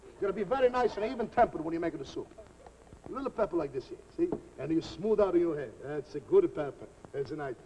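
A man's voice: a little high, expressive, quick pace, warm tone, natural voice. A man playing a jolly old-country Italian cook with an Italian accent, rambling in broken English before a live audience. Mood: jovial. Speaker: comic cook. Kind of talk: live comedy sketch. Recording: live audience laughter, noisy old recording.

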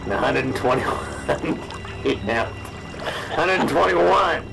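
A man's voice: on the high side, expressive, takes it slowly, gravelly, background noise, full character voice. A man playing a grizzled fisherman in a parody radio drama, telling his grim tale in a folksy, grave way. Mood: grave, haunted. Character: grizzled veteran muskie fisherman recounting a grim ordeal, spoofing a salty sea hunter.

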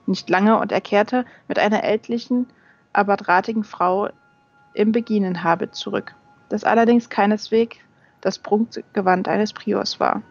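A woman's voice: mid-range voice, fairly even intonation, unhurried. A woman reading a fantasy novel aloud as scripted audiobook narration. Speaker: audiobook reader. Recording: dull lo-fi sound, music behind. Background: music.

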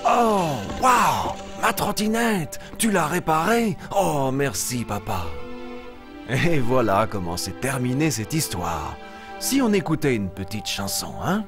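A man's voice: medium pitch, sing-song, takes it slowly, music in the background. A man playing an eager young boy lion, telling stories simply and expressively in a children's puppet show. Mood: delighted, then earnest. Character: eager young boy lion, cheerful storyteller of Bible tales. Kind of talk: animated storytelling for small children.

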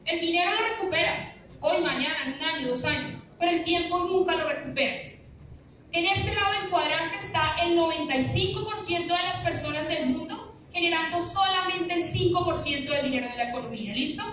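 A woman's voice: very high-pitched, a little fast, fairly bright tone. A young woman giving a punchy, rhetorical finance seminar to a room, often asking "¿listo?". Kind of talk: motivational seminar talk. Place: large lecture room with a projector screen, recorded by an audience phone. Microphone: audience phone camera, from afar. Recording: echoey room from far off, faint hiss.

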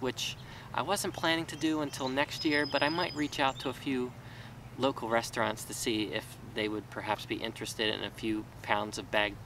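Gravelly-voiced man vlogging outdoors. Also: fairly low pitch. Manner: rambling, with many fillers and restarts. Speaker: small farmer. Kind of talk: casual talking-head vlog. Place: outdoors in a vegetable garden. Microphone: camera mic from a few feet away. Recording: distant mic with faint outdoor noise.

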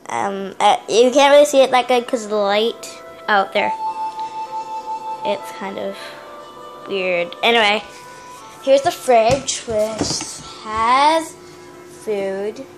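A woman's voice: a little high, takes it slowly, long pauses, music in the background. A young woman giving a rambling, giggly tour of her home, filmed on a handheld phone in a small house. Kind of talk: casual home tour narration. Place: small house, kitchen and bathroom. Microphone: handheld phone camera. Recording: noisy home phone video.

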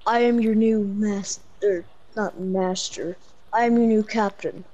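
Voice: mid-range voice, fairly even intonation, measured pace, crowd noise. A female player improvising a roleplay over game voice chat. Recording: game voice chat, faint noise.

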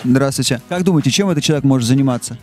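Fast-talking man quizzing passersby. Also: medium pitch, loud music behind the voice. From Russia. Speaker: street interviewer host. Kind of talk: quick joking street interview questions. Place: snowy city street outdoors in winter. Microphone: handheld mic.

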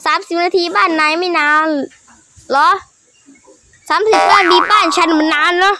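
A child improvising character voices for a cartoon skit, excitable and full of repeated exclamations. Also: extremely high pitch, normal speed, dry recording, studio quality. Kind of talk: improvised voicing of characters in a game-animation skit.

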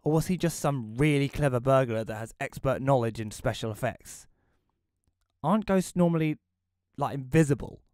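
A man's voice: medium pitch, normal speed, darkish tone, no room sound, close to an everyday voice. A man playing a reclusive, wry widower, narrating an audio play in the first person in a dry, reflective way. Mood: bemused, wry.

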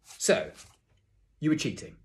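A man's voice: slow pace, slight background noise, close to an everyday voice. A man voicing a bullying, contemptuous ex-army schoolmaster in a storybook, barking his words with menace. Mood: menacing contempt. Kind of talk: children's storybook character voice.

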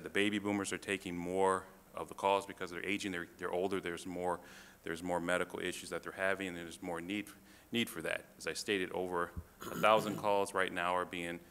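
A man presenting to a city council as a fire department official, halting, with many fillers and restarts. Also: sits low, little pitch movement, vocal fry, bright, forceful tone, clean tone. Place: council chamber. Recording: reverberant chamber, faint room noise.

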